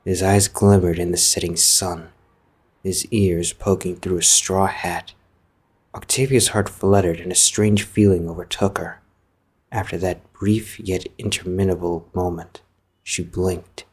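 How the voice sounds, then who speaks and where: A man's voice: low voice, steady tone, measured pace, husky. A man narrating a scripted story as a storyteller. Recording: music behind the voice.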